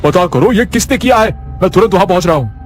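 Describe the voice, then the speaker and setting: A man's voice: sits high, expressive, fast talker, soft background music, voice pushed up. A man voicing an angry, domineering husband and hospital boss, scolding and insistent. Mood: urgent, agitated.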